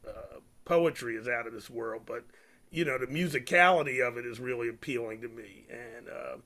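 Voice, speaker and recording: Male interviewer in his sixties, bright tone, hesitant questioning. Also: fairly high pitch. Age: 60s. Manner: hesitant, searching for words.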